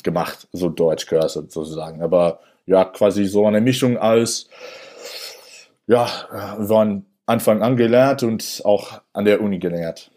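A man's voice: on the low side, a little slow, dark tone. A man in his twenties from New York with an American accent, speaking German as a second language in a casual interview, hesitant with many restarts and fillers. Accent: American English. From New York, USA. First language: English. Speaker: interview guest.

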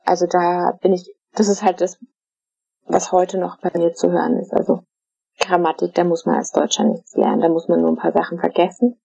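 A middle-aged woman from Germany, answering interview questions in a casual, thoughtful way, with many fillers and pauses. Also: on the low side, level tone, gravelly, dark tone, husky, dry recording. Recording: dull, dry lo-fi recording.

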